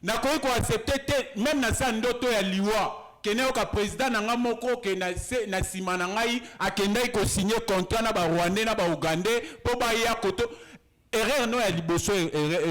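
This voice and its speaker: Indignant Kinshasa man, high voice. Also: fairly quick, fairly bright tone. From Kinshasa, DR Congo. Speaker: debate guest. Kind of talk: political debate.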